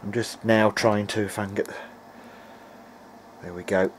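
Low-voiced man thinking aloud, noisy recording. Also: little pitch movement, moderate pace. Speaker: hobbyist presenter of an electronics repair video diary. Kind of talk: casual technical narration while demonstrating.

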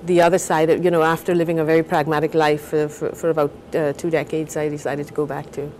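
An older woman from Guyana, a novelist, talking and reading aloud in a measured, thoughtful way on a TV studio set. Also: deep voice, flat delivery, darkish tone, a little hiss or hum. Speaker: novelist guest.

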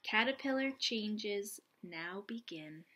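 A young adult woman reading aloud and explaining gently, as a teacher does for young children. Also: medium pitch, lively intonation, takes it slowly. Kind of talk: children's read-aloud and lesson. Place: small lamp-lit room at home, indoors.

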